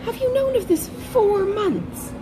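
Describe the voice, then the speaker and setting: A woman's voice: extremely high pitch, animated, a little slow, over music, voice pushed up. A woman voicing a passionate, distressed young sister in an audiobook, exclaiming with emotion. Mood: shocked, anguished.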